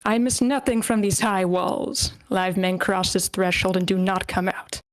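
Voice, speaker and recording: A woman's voice: medium pitch, measured pace, a little hiss or hum, natural voice. A woman playing a haughty, disdainful rich noble in a screenplay table read over an online call.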